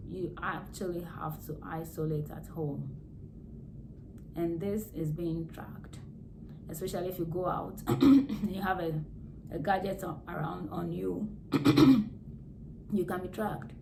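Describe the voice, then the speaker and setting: A hoarse woman, a nurse, giving plain health advice in a casual vlog and repeating key words as she explains. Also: fairly low pitch, steady tone, slow pace, long pauses, darkish tone, a little hiss or hum, low-quality recording. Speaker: nurse vlogger.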